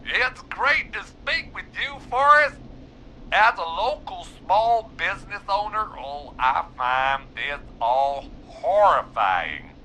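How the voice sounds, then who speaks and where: A man's voice: high voice, big pitch swings, takes it slowly, sharp tone, a little hiss or hum, full character voice, poor audio. A man playing a shamelessly self-promoting pizza shop owner in a video game, theatrical and falsely modest. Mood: mock horror.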